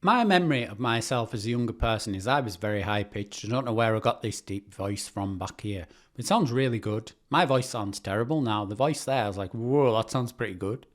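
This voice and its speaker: A British man with a lowish voice talks as a YouTuber. His delivery is casual and self-mocking, like informal commentary on his own work. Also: lively intonation, a little fast. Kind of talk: casual reaction commentary on old videos.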